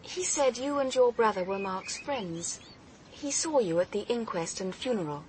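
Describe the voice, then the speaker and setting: A woman with a British accent playing a polite, determined young private detective in a radio drama, measured and probing. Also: fairly high pitch, expressive, noisy background, close to an everyday voice. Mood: insistent, questioning. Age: young adult. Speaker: lead actress.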